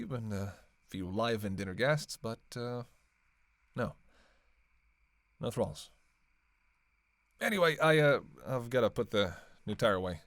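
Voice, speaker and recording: A man's voice: medium pitch, no room sound. A snarky male vampire, acted by a man in an audio roleplay, talking casually in a mid-range voice. He needles and teases, drawing out his hesitations, and the sound is close and dry. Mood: casual, evasive. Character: sarcastic, teasing male vampire on a road trip.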